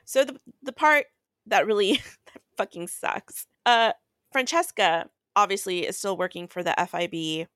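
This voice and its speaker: A woman's voice: medium pitch, unhurried, fairly bright tone, no echo, studio quality. A woman co-hosting a podcast, giving a casual book recap in a blunt, exasperated way and swearing freely. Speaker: podcast co-host.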